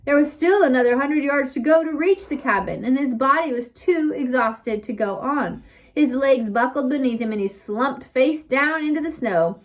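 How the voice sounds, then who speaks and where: A woman narrates a story from a book in a fairly high voice. She reads as a storyteller would, giving key words expressive emphasis and leaving pauses for drama. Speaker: audiobook reader.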